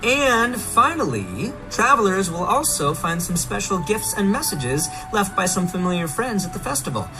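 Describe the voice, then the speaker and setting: A man giving an upbeat scripted presentation with an animated, enthusiastic manner. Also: high-pitched, moderate pace, hardly any pauses, rough recording. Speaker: game livestream presenter. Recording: faint music behind the voice.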